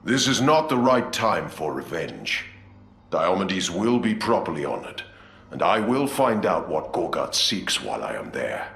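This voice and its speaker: A man's voice: deep voice, sing-song, measured pace, gravelly, fairly bright tone, heavily acted. An actor playing a dutiful, resolute male commander of space warriors in a video game, speaking solemnly and formally. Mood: solemn, resolved. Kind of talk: video game cutscene acting. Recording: echoey, faint music behind.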